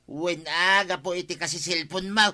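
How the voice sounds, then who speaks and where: An actor with an Ilocano accent playing a grumbling, complaining village husband in a radio comedy drama. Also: male voice, sits high, steady tone, moderate pace, bright, forceful tone.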